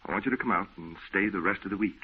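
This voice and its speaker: A man's voice: deep voice, rapid-fire, husky. A man acting a breezy, reassuring friend in an old-time radio drama, with a hearty, folksy way of talking. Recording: muffled, narrow-band old radio recording.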